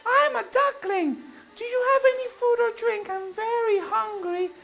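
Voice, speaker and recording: A woman's voice: extremely high pitch, moderate pace, creaky, fairly bright tone, soft background music, pitched above the performer's own voice, exaggerated voice. A woman voicing a small, sad ugly duckling who pleads timidly and sounds close to tears. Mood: timid hopeful.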